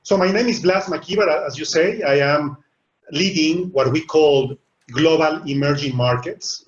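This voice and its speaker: Mexican man on an echoey, dull call line. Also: a little high. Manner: earnest, repeats small words as he builds each point. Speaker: webinar panelist, business executive. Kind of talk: panel talk on a video call. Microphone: video call.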